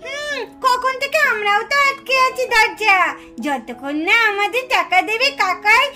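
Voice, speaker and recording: A woman's voice: extremely high pitch, animated, normal speed, bright, forceful tone, exaggerated voice. A woman voicing an eager, whiny little child in a puppet show, pleading. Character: eager, whining little child wanting a share. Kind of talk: puppet-show cartoon dialogue. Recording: music behind the voice.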